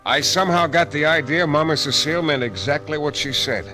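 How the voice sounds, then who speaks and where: An actor playing a tough, world-weary private detective who narrates a hardboiled 1940s-style radio drama with clipped, sardonic delivery. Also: male voice, on the high side, brisk, music in the background, natural voice. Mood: wry, suspenseful. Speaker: detective and first-person narrator.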